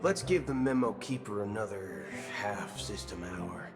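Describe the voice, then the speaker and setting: An actor playing an easygoing, shrewd space agent in a game cutscene, with a folksy, musing way of talking. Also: male voice, on the low side, expressive, measured pace, music in the background. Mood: businesslike. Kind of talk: video game cutscene voice acting.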